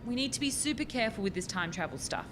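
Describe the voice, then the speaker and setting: Casting: actress as a higher-voiced young woman scientist, keen and exasperated, radio farce, rumbly noisy home recording. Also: big pitch swings, quick pace. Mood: grave. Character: keen, exasperated young female physics researcher. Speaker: actor in a radio play. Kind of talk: radio play farce acting.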